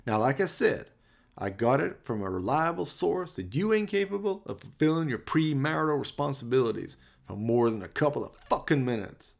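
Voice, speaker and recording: Cocky sleazy gangster boss, high voice swinging all over, taunting, radio comedy. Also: male voice, lively intonation, normal speed, gravelly. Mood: mocking menace. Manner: mocking, swaggering, slangy threats and whoops. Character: brash, sleazy, taunting male gang boss. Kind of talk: comic radio play.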